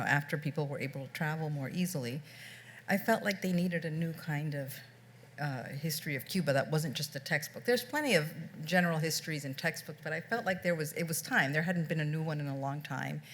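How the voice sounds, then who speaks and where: A woman's voice: deep voice, a little fast, a bit bright, a little hiss or hum. A middle-aged woman speaking as an author on a panel, reflective and often restarting her sentences. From United States. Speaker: author on a book festival panel. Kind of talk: panel discussion.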